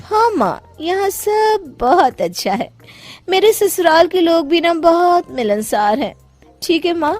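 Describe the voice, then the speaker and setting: A lazy young bride in a cartoon tale, played by a woman, with a high voice that swoops through every complaint. She is cheeky and whiny, with light music behind her. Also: big pitch swings, measured pace, darkish tone, no echo, heavily acted. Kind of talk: animated moral story voice acting.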